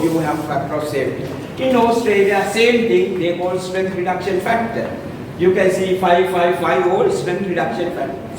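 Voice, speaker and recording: A middle-aged Sri Lankan man gives a casual technical talk to engineers, tagging his points with "right?". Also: high voice. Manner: informal, frequent "right?" tag questions. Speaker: guest lecturer, principal engineer. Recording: echoey hall, far from the mic, noisy.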